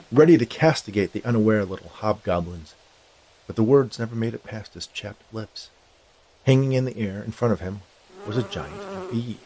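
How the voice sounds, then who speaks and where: A man's voice: fairly low pitch, warm tone, rough recording. A man reading a scripted short story aloud as its narrator.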